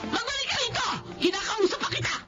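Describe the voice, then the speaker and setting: High, swooping, imploring mother. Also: lively intonation, fast. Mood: tearful outrage. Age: middle-aged. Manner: emotional, imploring. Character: pleading, long-suffering mother, protective of her husband. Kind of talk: Filipino radio drama acting. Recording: dull lo-fi with music behind.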